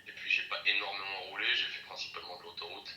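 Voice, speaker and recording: A man with a fairly low but very sharp voice chats casually on the phone, explaining in repeated, hesitant phrases. He sounds far off, on an echoing speakerphone. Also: little pitch movement, fast. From Paris, France. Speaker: private car seller. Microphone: phone call heard through speaker. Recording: distant echoey speakerphone, faint noise.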